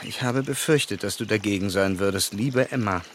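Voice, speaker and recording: An actor playing an earnest, tender English gentleman in love in a period radio play, gentle and measured. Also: fairly low pitch, slight background noise, lightly acted. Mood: concerned, gentle. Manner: gentle, measured, formally polite. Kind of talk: German radio play acting of period novel.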